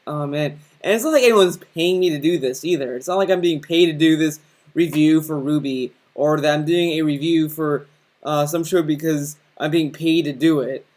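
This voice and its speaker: A man's voice: fairly high pitch, moderate pace. A young man giving a casual, rambling commentary rant, often restarting his sentences and mocking what he's reacting to. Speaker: commentary channel host.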